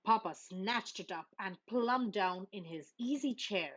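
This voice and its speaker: A woman narrating a children's storybook aloud in an expressive style for schoolchildren. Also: mid-range voice, measured pace. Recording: dull, lo-fi sound.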